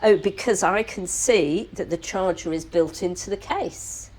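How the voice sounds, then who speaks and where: A woman's voice: on the low side, measured pace, darkish tone, slightly rough, low-quality recording. Enthusiastic woman unboxing a product. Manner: enthusiastic, exclaims often. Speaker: vlog co-host. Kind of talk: casual unboxing chat.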